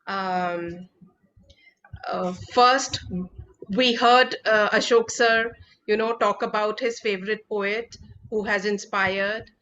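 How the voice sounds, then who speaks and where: Lady co-hosting online, slow and bright forceful voice, muffled call sound. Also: mid-range voice, steady tone. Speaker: co-host. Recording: dull lo-fi video call.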